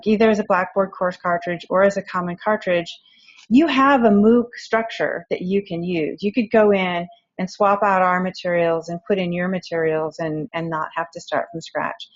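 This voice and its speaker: A woman presenting on a conference panel, explaining things in a plain way with a few fillers. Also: on the low side. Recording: dull lo-fi conference recording.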